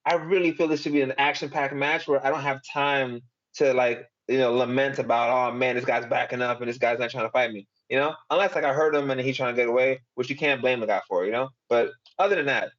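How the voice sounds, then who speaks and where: A man's voice: medium pitch, steady tone, quick pace, a bit bright, lo-fi sound. A young adult man, a fighter, giving casual interview answers in a rambling way, full of fillers and short laughs. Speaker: MMA fighter.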